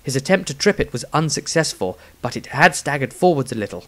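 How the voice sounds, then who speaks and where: A man narrates a fantasy story with theatrical emphasis. His mid-pitched voice moves widely up and down for dramatic effect. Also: big pitch swings, brisk, fairly bright tone. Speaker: author-narrator.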